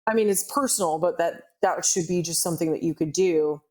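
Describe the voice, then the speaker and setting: A woman's voice: on the low side, fairly quick, darkish tone. A woman co-hosting a podcast in casual chat, earnestly explaining her views and clarifying herself. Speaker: podcast co-host.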